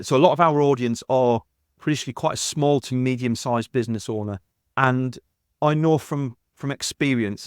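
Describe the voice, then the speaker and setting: A man's voice: medium pitch, clear, resonant tone, no echo. A middle-aged man with a mid-range voice hosts an interview podcast. He talks conversationally and at length, complimenting his guest, and the sound is dry with very little room. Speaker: podcast host.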